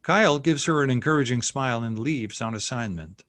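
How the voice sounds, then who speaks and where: A man's voice: medium pitch, a little fast, a bit bright, no room sound, clean studio sound. A man reading stage directions as narrator at a screenplay table read. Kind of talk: scripted narration.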